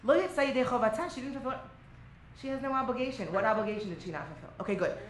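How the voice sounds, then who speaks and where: A woman lecturer with a fairly high voice guides a class through a religious text. She is emphatic and conversational, often checking in with short tag questions. The room is echoey with noticeable noise behind her. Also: rapid-fire. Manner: animated, emphatic, peppers talk with "right?" and "okay?"; switches between English and Aramaic or Hebrew quotation. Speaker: teacher leading a class.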